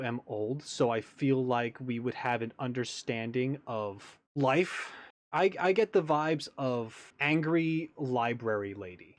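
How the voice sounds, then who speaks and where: Animated man giving casual reaction commentary. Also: mid-range voice, no room sound. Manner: tentative, musing. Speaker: host of a gaming reaction video.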